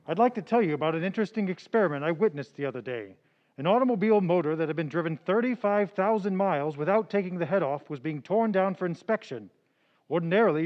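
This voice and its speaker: An actor playing a smooth male radio announcer reading a period commercial. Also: lo-fi sound, natural voice. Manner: polished sales-pitch delivery.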